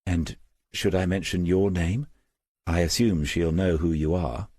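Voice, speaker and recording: A man's voice: low voice, steady tone, measured pace, warm tone, breathy edge, dry recording, studio quality. A man voicing a mild, hesitant man seeking advice in an audiobook, polite and tentative. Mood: uncertain.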